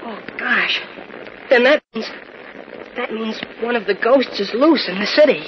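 A man's voice: extremely high pitch, measured pace. An actor playing a nervous, boyish young male cub reporter who talks in youthful exclamations, in 1940s radio style. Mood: awed, spooked. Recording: muffled, noisy old radio recording.

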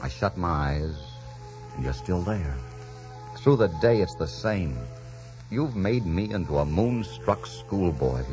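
A bold adventurer captain with a low, deep voice. He speaks with theatrical ardour and command, in the manner of 1940s radio drama, on a dull old recording with music underneath. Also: lively intonation, takes it slowly, a little mellow. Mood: ardent, romantic. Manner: theatrical, ardent, declamatory.

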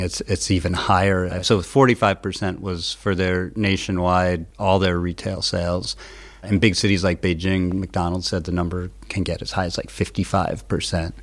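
A man, a news editor giving conversational analysis as a guest. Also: low voice.

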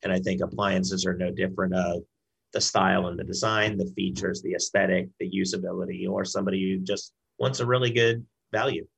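A man with a low, husky voice answers as a guest in a business interview. His speech is conversational and a little halting, with repeated words, and carries an audible creak. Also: level tone, low-quality recording. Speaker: brand director, interview guest.

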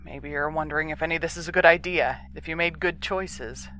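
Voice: medium pitch, a little fast, sharp tone, slight background noise, close to an everyday voice. A performer playing an earnest, conciliatory moonbase crew member pleading for patience in a sci-fi audio drama.

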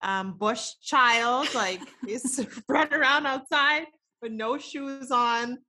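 A woman's voice: high-pitched, slow pace, sharp tone. A woman, chatting as a podcast guest, laughing often and filling her talk with casual fillers. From the Bahamas. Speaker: guest artist.

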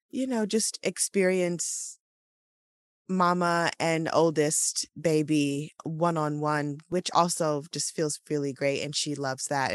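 A woman, co-hosting a casual morning podcast chat in a relaxed, meandering way, her voice still sleepy. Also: low-pitched, no echo, clean studio sound. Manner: relaxed, laughs often, meandering. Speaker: podcast co-host.

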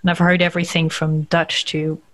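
A woman's voice: on the low side, completely flat delivery, a little fast. French woman, podcast host, light accent that sounds mostly North American, curious. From France. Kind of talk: casual interview chat.

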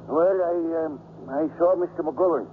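A high-pitched, humble male groundskeeper, acted by a man, halting and deferential as a witness. The old radio recording is thin, with faint noise. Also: narrow, tinny audio, close to an everyday voice. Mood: hesitant, earnest. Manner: hesitant, deferential. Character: plain, hesitant male ballpark groundskeeper giving testimony. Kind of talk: 1950s radio drama acting.

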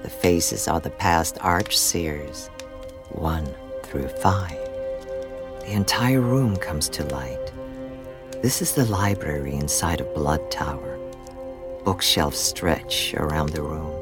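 A man narrating a scripted audio drama deliberately, with dramatic pauses. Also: rumbling low. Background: music.

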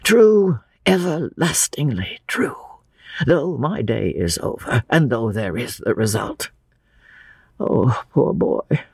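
Storybook old man, as a narrator acts him: quavering, breathy, halting, gentle and sad, very close dry sound. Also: medium pitch, expressive, dry recording, exaggerated voice. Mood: earnest, tender. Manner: slow, halting, sighing.

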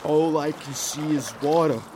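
A hesitant, literal-minded man, played by an actor in a comic audio drama, his voice a little high. Faint water and boat sounds sit behind him. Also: measured pace, a little mellow, slight background noise, lightly acted. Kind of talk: audio fiction comedy acting.

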